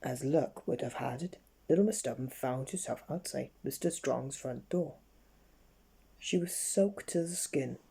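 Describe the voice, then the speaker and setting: Bedtime storybook narrator: mellow, somewhat raspy, homespun reading with stumbles. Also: medium pitch. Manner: halting reading with slips. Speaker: story reader.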